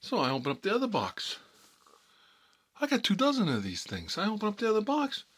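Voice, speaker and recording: A man playing a gruff, sardonic mob boss who rambles and repeats himself through mock threats, in an ASMR-style comic monologue with a husky voice. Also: high-pitched, wildly swooping pitch, a little fast, a bit bright, pitched above the performer's own voice, heavily acted. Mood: accusing, threatening.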